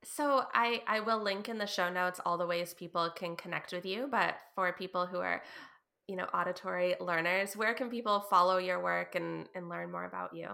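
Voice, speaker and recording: A woman's voice: fairly low pitch, steady tone, normal speed, creaky. A woman hosting an interview podcast as a therapist, affirming her guest often with short backchannels. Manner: warm affirming listener, frequent "mm" and "yeah". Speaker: podcast host, trauma therapist. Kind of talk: casual interview and scripted outro.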